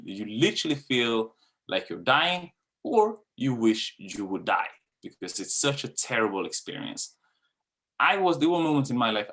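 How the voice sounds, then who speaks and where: A man's voice: medium pitch, a bit bright. A man in his thirties from Sweden with a Swedish accent, a dance coach giving a candid webinar talk with casual fillers and swearing. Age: 30s.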